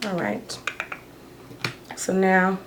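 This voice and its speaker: A woman's voice: mid-range voice, animated, extremely slow, background noise. A woman who has partly lost her voice, chatting casually as she does her makeup for a get-ready-with-me vlog. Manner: chatty, rambling, talks while doing makeup. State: hoarse, losing her voice. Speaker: beauty vlogger.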